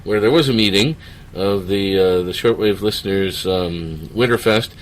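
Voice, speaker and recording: A man's voice: low-pitched, moderate pace, poor audio. A man hosting a radio interview, prompting guests with short leading questions. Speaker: radio show host.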